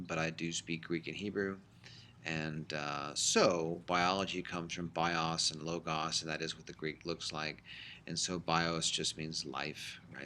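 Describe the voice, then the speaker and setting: A middle-aged man teaching a high school biology class in a recorded lecture, explaining in a conversational way with frequent fillers. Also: bright, forceful tone, a little hiss or hum.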